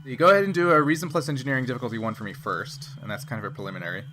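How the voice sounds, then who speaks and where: A man's voice: medium pitch, very fast, pushed and bright. A man narrating and refereeing a tabletop role-playing game as game master. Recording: noisy group recording with room ambience.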